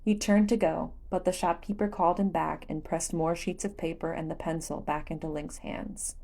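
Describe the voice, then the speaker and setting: A woman's voice: deep voice, steady tone, moderate pace, mellow. A woman reading a story aloud as scripted audiobook narration. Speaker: audiobook narrator of fan fiction.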